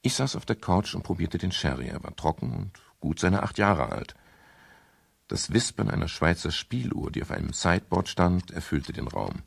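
An actor playing a world-weary private detective in a 1970s German radio crime drama, dry, sardonic and understated. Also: male voice, sits low, very animated, moderate pace, gravelly, slightly rough, heavily acted. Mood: reflective narration. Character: world-weary male private detective, dryly wry, narrating his case. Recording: faint hiss of 1970s radio production.

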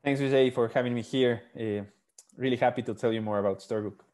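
A man's voice: mid-range voice, steady tone, fast talker, darkish tone. A man in his thirties from Ecuador, a startup founder talking over a video call with an earphone mic, earnest and fluent with frequent fillers. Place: small room indoors.

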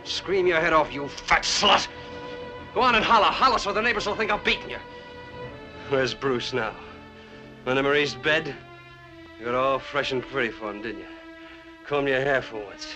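A man's voice: mid-range voice, expressive, moderate pace, long pauses, music in the background, close to an everyday voice. An actor playing a bitter, drunken middle-aged husband who sneers and accuses his wife in a film scene. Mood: ranting. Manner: sneering, accusing, rising to rage.

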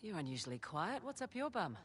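A woman's voice: fairly low pitch, sing-song, fairly quick, fairly bright tone, slight background noise. An actress playing a sassy, streetwise young woman thief, cool and teasing, in a video game cutscene. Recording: music behind dialogue.

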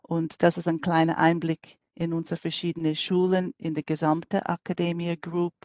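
American woman speaking halting German. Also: on the low side, monotone, darkish tone, no room sound. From New York City. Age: middle-aged. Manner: hesitant, many fillers, non-native German phrasing. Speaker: language school director. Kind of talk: online conference presentation.

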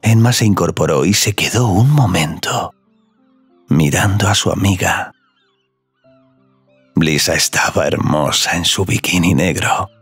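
A man narrating scripted audio fiction in a slow, sensual storytelling manner. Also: sits low, gravelly, a bit bright, breathy edge, dry recording.

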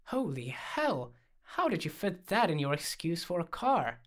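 A man's voice: fairly high pitch, normal speed, lightly acted. A man voicing a weary, sardonic character in an audiobook, with dry and exasperated delivery. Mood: stunned.

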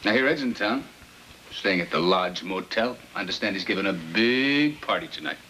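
A man's voice: deep voice, animated, moderate pace, pushed and bright. An actor playing a bitter, sarcastic middle-aged American father and husband in a 1950s film drama. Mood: suspicious. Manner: sarcastic, grumbling. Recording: noisy old film soundtrack with clicks.

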